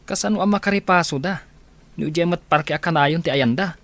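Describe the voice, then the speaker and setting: A man playing a concerned, gently persuasive young student, earnest and questioning in an amateur audio drama. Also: fairly high pitch, fairly quick, no echo. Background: faint music.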